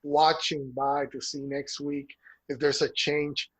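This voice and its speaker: Husky man walking through a chart. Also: a little high, little pitch movement, takes it slowly, poor audio. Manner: explains a chart step by step, with restarts. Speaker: co-host of a golf news show. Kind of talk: casual chat.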